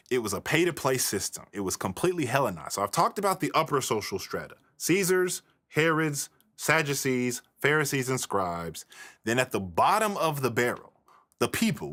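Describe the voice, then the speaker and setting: A man teaches in a loose, improvised lecture style. His pitch moves around a lot, and his delivery is colloquial and emphatic, leaning hard on key words. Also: fairly bright tone. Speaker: religious history teacher.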